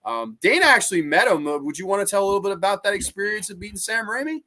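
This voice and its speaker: A man hosting a laid-back podcast with a fairly high, very bright and forceful voice. He talks with loose enthusiasm and drops curse words casually, as if among friends. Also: expressive, quick pace. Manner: enthusiastic, casual, swears freely.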